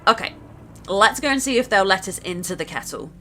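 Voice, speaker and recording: A woman narrating a gameplay video as a developer, scripted with wry, playful asides, over loud game music. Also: mid-range voice, animated, brisk.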